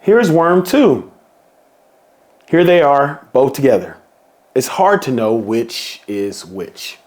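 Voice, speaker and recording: A man reading a children's picture book aloud in a slow, playful storybook manner. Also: mid-range voice, big pitch swings, darkish tone.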